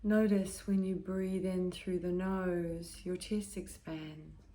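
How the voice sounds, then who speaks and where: A woman guides a meditation in a fairly low voice, speaking very slowly. Her delivery is gentle and measured, with long pauses between short phrases and soft, breathy endings. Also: level tone. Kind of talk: guided meditation, slow and soothing.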